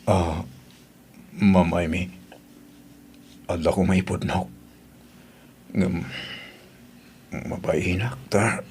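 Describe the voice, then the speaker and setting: A man's voice: low-pitched, fairly even intonation, takes it slowly, pauses often, a little mellow. A man playing a humble, bashful father in an audio drama, speaking hesitantly with a Filipino accent. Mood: shy, reluctant. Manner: shy, hesitant. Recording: faint background noise.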